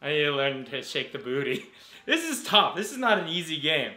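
An American man chatting casually as a vlogger, in an informal, animated and jokey way. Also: a little high, fairly quick, soft background music. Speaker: vlogger, host. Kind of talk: casual vlog chat.

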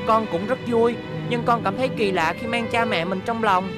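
A man voicing a cheerful, polite young robot boy in a cartoon dub. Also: normal speed. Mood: polite, eager. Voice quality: high boyish voice. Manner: polite and eager. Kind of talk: cartoon dubbing. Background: loud music score.

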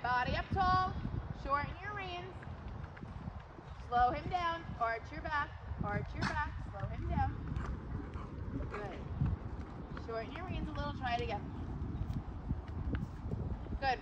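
Riding instructor, woman, short clipped instructions, high-pitched, recorded on a phone in an outdoor arena. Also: slow pace, long pauses. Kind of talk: coaching a riding lesson. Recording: lo-fi audio with loud outdoor noise.

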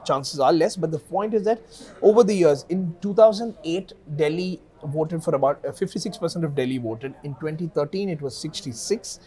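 A man in his thirties, an analyst guest in a news studio interview, speaking in a measured way with many fillers on a lapel mic. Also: fairly high pitch, expressive, dark tone.

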